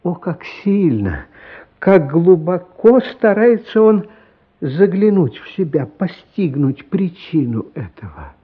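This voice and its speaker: A man narrating a literary radio play as a solemn, compassionate storyteller, expressive and measured, with dramatic pauses. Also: sits high, husky, full character voice. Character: solemn, compassionate storyteller of a boy's inner life. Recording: slightly dull, lo-fi radio recording. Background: music.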